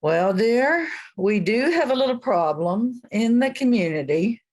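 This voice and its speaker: A woman's voice: on the high side, expressive, unhurried, darkish tone. Woman acting a lilting, motherly, menacing witch priestess. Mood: patient, firm. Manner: soothing, condescendingly sweet. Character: motherly, gently menacing small-town witch high priestess. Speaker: actor in a radio play. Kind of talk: radio comedy-drama dialogue.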